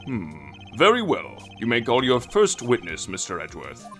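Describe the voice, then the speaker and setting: An actor as a dithering old judge, wildly swooping pitch. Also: wildly swooping pitch, normal speed. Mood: formal. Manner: formal, hesitant. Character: dithering, dignified older male courtroom judge. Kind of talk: video game fan dub acting. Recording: faint background music behind voice.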